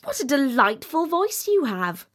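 Lady doing a little frog guy from a storybook, high voice, super chatty, gushy and pleased with himself. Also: sing-song, fairly bright tone, heavily acted. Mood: gushing, self-satisfied. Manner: gushing, complacent, talks without pause. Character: chatty, smug, self-satisfied little male frog. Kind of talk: storybook character voice.

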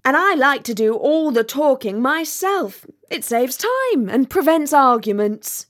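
A woman's voice: sits high, very animated, pitched above the performer's own voice. A woman voicing a chatty, self-satisfied little male frog in a storybook, gushing and complacent. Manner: gushing, complacent, talks without pause. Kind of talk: storybook character voice.

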